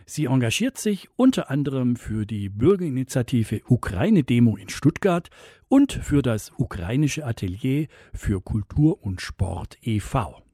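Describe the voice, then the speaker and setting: A man announces a campus radio show from a studio. His voice is dark and husky, and his pitch moves a lot. The room sound is dry and close. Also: very animated, dry recording. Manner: measured, announcing. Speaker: radio host. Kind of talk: scripted radio introduction.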